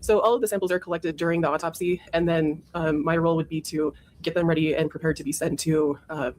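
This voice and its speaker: A woman testifying as a witness in a courtroom, hoarse from a cold, giving careful, matter-of-fact answers. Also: deep voice, fairly even intonation, fast talker, darkish tone, a little hiss or hum. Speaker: witness, former autopsy technician.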